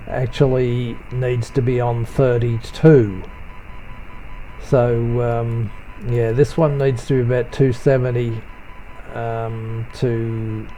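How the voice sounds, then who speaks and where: A man giving an unscripted software tutorial voice-over in a low-key, hesitant, halting way. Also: rough recording.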